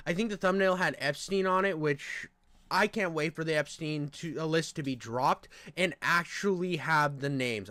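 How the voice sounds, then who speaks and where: Nasal, high-voiced man riffing crudely. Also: a bit bright, dry recording. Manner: rambling, crude, jokey. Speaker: reaction commentary YouTuber. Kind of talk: crude reaction commentary.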